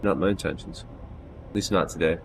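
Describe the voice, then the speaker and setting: A man in his thirties chatting casually on a live stream from a small room at home, loud-voiced, loose and self-deprecating, with frequent swearing, on a headset mic. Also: sits low, fairly quick, slight background noise, poor audio. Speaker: game streamer.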